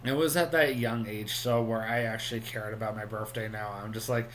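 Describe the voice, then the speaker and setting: A man's voice: lowish voice, steady tone, fairly quick, a bit bright, slight background noise. A man in his thirties talking casually on his solo vlog at home, rambling with many ums and restarts. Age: 30s. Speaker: vlogger.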